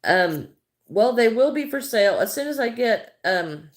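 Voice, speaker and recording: A woman's voice: on the high side, sing-song, unhurried. A middle-aged Midwestern American woman chatting casually on a crochet livestream, greeting viewers by name and thinking aloud as she works.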